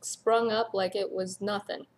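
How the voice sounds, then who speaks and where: A woman's voice: medium pitch, level tone, measured pace. A woman chatting casually as a commentator on a review, emphatic and incredulous, repeating her points. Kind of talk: casual chat.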